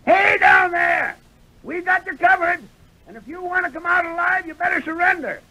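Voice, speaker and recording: Shrill, creaky old prospector. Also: male voice, moderate pace, pushed and bright, heavily acted, rough recording. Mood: shouting threats. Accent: American. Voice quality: creaky, cracked high voice. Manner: folksy frontier drawl, blustering. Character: gruff, feisty old Western prospector. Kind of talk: 1930s-40s radio western acting. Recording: vintage radio recording.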